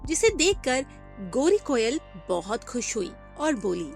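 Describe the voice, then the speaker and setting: A woman narrates a children's cartoon story in a clear, storytelling cadence. Her voice sits fairly high and is animated, and faint music plays underneath. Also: unhurried.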